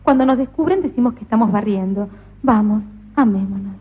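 A woman's voice: a little high, fairly quick, breathy edge. A woman voicing a flirtatious, teasing woman prisoner in a comic radio play. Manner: teasing, giggly. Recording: tinny lo-fi radio recording.

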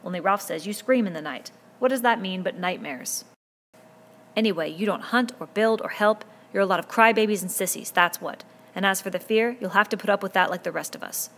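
A woman's voice: medium pitch, fairly quick, a bit bright, close to an everyday voice. A woman reading a novel aloud, voicing a scornful, bullying boy who leads the hunters and sneers at the younger ones. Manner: sneering, dismissive.

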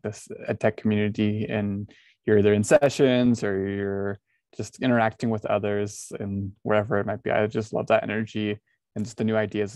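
A low, flat-voiced man answers on a video call. He speaks earnestly with some hesitations, and the sound is dry and close. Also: dry recording. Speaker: instructional technology specialist.

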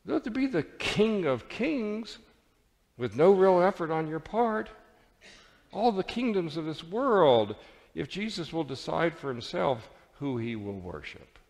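Sly tempter devil played by a male preacher, pitched up, insistent, theatrical, church-hall reverb. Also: big pitch swings, measured pace, gravelly, a little mellow, exaggerated voice. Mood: grand persuasion.

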